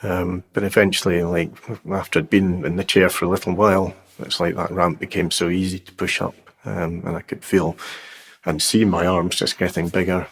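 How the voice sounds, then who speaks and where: A man in his fifties telling his life story in an interview, unhurried and halting, with plenty of fillers and restarts. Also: deep voice, level tone, creaky, husky. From Scotland. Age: 50s. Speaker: guest sharing his testimony. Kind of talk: personal testimony interview.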